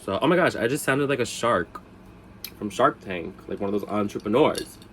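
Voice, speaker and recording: A man's voice: lowish voice, expressive, moderate pace, fairly bright tone, a little hiss or hum. A man with a cold, congested and sniffly, chatting casually and rambling through a storytime vlog with self-mocking asides. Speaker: vlog host.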